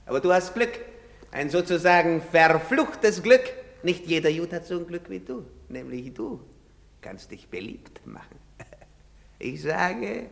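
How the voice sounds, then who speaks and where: An actor playing a drunken, boastful, bullying soldier in theatrical style, blustering and repeating himself with slurred words. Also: male voice, a little high, big pitch swings, measured pace, gravelly, a bit bright, full character voice. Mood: drunken, menacing. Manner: slurred, repetitive drunken bluster with laughing and outbursts. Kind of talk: theatrical stage acting. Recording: echoey theatre hall, long reverb.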